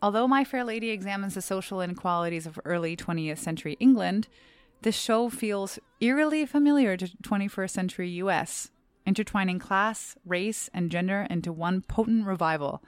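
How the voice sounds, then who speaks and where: A young adult woman, a stage actor, reading a scripted personal essay aloud in a measured, earnest, clearly enunciated way. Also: mid-range voice, no room sound.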